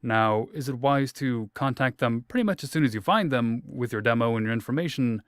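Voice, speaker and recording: A relaxed man from Indiana hosts the interview and asks his questions with easy jokes. His voice is smooth and clear, and the sound is close and dry. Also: mid-range voice, brisk, no echo. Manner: joking, relaxed. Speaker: interviewer, host.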